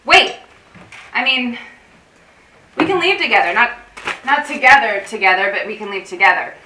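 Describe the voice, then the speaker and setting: An actress in a staged reading playing an anxious, wry, guarded support group organiser, rambling and awkwardly correcting herself. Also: female voice, a little high, expressive, moderate pace, sharp tone. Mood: flustered. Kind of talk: staged play reading, naturalistic dialogue. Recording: roomy, distant mic with faint noise.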